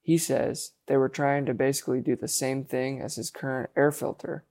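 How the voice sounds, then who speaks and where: Flat-voiced male news narrator. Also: mid-range voice. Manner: even, measured delivery. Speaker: reporter.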